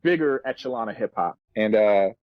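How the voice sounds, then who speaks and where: A man's voice: fairly low pitch, lively intonation, brisk, no echo, phone-quality sound. A man in his thirties telling stories casually as a musician guest on a podcast, in laid-back, slangy, earnest and reflective speech. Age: 30s.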